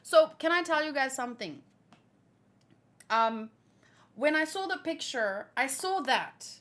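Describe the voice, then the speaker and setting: A woman hosting a casual read-along, reading comic dialogue aloud and chatting as an animated fan. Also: high-pitched, measured pace. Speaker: host reacting to a manga chapter read-along.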